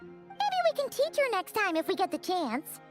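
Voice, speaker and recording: A woman voicing a small, bubbly, childlike fairy companion in a video game, excitable and exclamatory. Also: moderate pace, exaggerated voice. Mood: curious. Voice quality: squeaky, childlike. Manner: exclamatory, speaks of herself in third person. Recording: music behind the voice.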